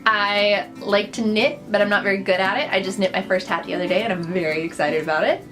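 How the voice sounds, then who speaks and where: A woman's voice: medium pitch, fairly even intonation, fast. Chatty young woman over background music. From Netherlands. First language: Dutch. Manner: chatty, upbeat, frequent fillers and asides. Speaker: vlogger. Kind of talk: casual list of personal facts to camera.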